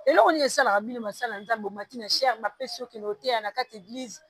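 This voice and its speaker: Web TV host, slightly breathy voice, dry close mic. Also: male voice, extremely high pitch, a little fast, fairly bright tone, no room sound. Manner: mixes French and Lingala, frequent short backchannels. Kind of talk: interview questions.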